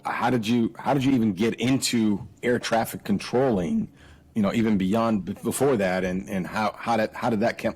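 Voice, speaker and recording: A man hosting a casual interview, forming his questions in a halting way with repeated words. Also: low-pitched. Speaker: podcast host, interviewer.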